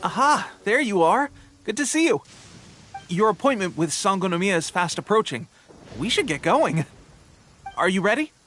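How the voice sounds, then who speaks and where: Eager, high-voiced young soldier. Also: male voice, very animated, moderate pace, long pauses, fairly bright tone, soft background music. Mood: cheerful. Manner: upbeat, helpful, earnest. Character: eager, friendly young male resistance soldier. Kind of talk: video game voice acting.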